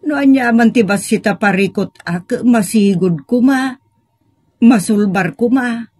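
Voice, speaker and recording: Woman acting a husky, patient older wife. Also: on the high side, unhurried, a little mellow, no echo. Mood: earnest, reassuring. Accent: Ilocano. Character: patient, devout older wife counseling her troubled husband. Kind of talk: Filipino audio drama acting.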